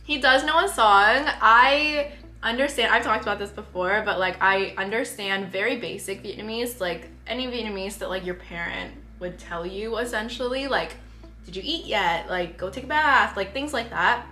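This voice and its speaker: A woman's voice: medium pitch, moderate pace, sharp tone. A young woman from North America in a casual, chatty vlog conversation with her partner, speaking informally with lots of "like". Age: young adult. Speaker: parenting vlogger. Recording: roomy echo, faint music behind.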